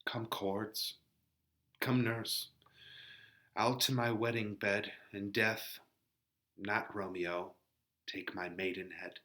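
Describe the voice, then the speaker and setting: A man performing a distraught, passionate teenage bride in a melodramatic, drawn-out Shakespeare reading. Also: lowish voice, steady tone, bright, forceful tone, voice pushed down. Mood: desolate, mournful.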